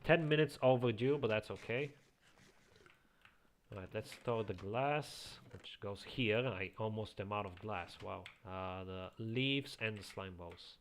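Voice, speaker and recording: A man with a slight Eastern European accent, chatting casually as a streamer, reading chat aloud and chuckling often into a quiet home microphone. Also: lowish voice, unhurried. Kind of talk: casual live-stream chat while playing.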